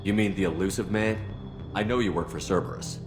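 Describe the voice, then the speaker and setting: An actor playing a wary, resolute military commander in a video game, terse and firm. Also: male voice, low voice, steady tone, a little fast, over music, lightly acted.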